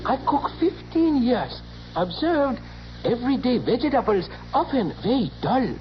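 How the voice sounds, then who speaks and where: A woman playing a polite cook in a vintage radio commercial, with a Chinese accent and broken, deliberate English. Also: a little high, very animated. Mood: polite, eager. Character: polite immigrant cook pitching sauce in advert. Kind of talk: 1960s radio commercial acting. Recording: muffled, noisy vintage radio recording.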